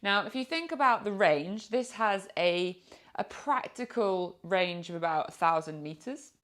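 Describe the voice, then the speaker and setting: A woman with a British accent giving an informal product review in a chatty, explanatory way. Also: lowish voice. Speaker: product reviewer and motorcycle vlogger.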